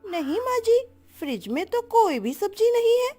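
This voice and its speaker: A woman's voice: very high voice, very animated, moderate pace, dark tone, soft background music, voice pushed up. A woman voicing a cheeky, stubborn young daughter-in-law in a cartoon story, talking in a sweet, girlish, wheedling way. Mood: sweetly stubborn.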